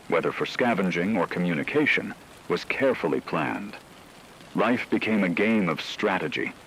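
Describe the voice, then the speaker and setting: Low-voiced man in solemn dramatic narration. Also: gravelly, a bit bright, a little hiss or hum, poor audio. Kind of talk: scripted dramatic documentary-style narration of an apocalypse story.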